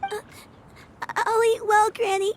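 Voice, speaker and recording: Small girl, very high childlike voice, slow delivery, plaintive, devoted granddaughter, video game. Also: flat delivery. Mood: tearful, brave. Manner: plaintive, simple childish speech.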